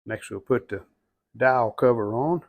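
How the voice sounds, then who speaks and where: Male hobbyist restorer, mid-range voice, plain-spoken and unhurried in tone, casual step-by-step commentary in short phrases. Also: medium pitch, phone-quality sound. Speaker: hobbyist restorer demonstrating a repair. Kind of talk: casual hands-on how-to narration.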